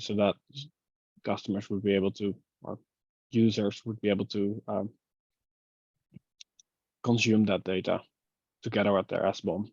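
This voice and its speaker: Low-voiced man on a dull, dry call line. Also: darkish tone, no echo. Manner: thinking aloud, filler-heavy, laughs at his own slips. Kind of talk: casual technical meeting discussion. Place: online video call.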